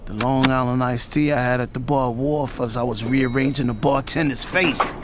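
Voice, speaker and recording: A man's voice: medium pitch, sing-song, a little slow, very noisy. An American man playing a hot-tempered, swaggering record label boss who narrates his own story in brash slang for an audio drama. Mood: unbothered, cocky. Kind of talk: first-person audio drama narration with dialogue. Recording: loud music behind the voice.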